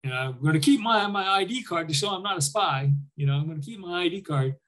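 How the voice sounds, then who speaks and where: A man's voice: sits high, expressive, quick pace, a bit bright. An older man, a military veteran, recalling his war service in an informal storytelling way, often voicing remembered dialogue. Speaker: military veteran, former Army air traffic controller, interviewee. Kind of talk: conversational war reminiscence.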